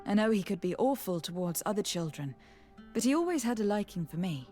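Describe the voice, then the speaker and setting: Woman playing a poised, mid-pitched noble heroine. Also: very animated, brisk, warm tone, soft background music, close to an everyday voice. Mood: curious, fond. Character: composed young noblewoman diplomat, direct and caring heroine. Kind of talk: video game dialogue acting.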